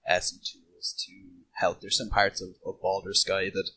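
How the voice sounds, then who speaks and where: A man's voice: sits low, little pitch movement, moderate pace, pushed and bright, a little hiss or hum, poor audio. A man talking casually as a panelist in a podcast discussion.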